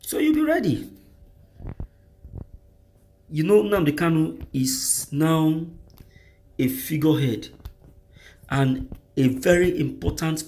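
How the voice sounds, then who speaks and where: A man's voice: on the high side, a little mellow, a little hiss or hum. A man with a Nigerian accent reading out news and giving impassioned commentary, repeating phrases for emphasis.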